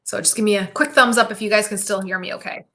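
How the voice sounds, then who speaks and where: A middle-aged woman physical therapist hosting a live Q&A stream, explaining anatomy with enthusiasm and often checking in with "right?". She projects loudly after taking off her microphone. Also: medium pitch, fairly even intonation, fast talker. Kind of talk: live-stream Q&A, casual teaching. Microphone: built-in device mic.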